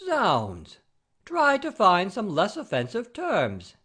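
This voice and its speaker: A man's voice: sits high, wildly swooping pitch, takes it slowly, a bit bright, husky, exaggerated voice. An actor playing a pompous, easily offended noble father-in-law in a classic comedy audio play, haughty and blustering. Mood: haughty, scolding.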